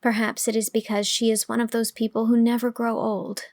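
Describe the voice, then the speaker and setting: A woman narrating an audiobook drama in a storytelling style, reading both the description and gentle dialogue. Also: fairly high pitch, little pitch movement, brisk, no room sound, clean studio sound.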